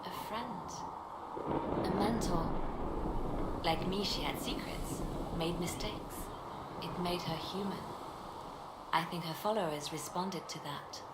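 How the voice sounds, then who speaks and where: A woman playing a guarded, composed spymaster in a video game, speaking in a measured, solemn, reserved way. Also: fairly low pitch. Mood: wistful. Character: guarded, composed female spymaster, agent of the church. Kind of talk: video game dialogue acting. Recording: echoey game capture, loud ambient noise.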